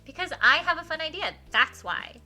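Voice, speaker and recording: Woman doing a bossy little girl, loud n insistent, bright voice, game music behind. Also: sits high, sing-song, normal speed, pitched above the performer's own voice, full character voice. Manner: emphatic, insistent, childlike. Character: bossy, eager young girl, older sister at play.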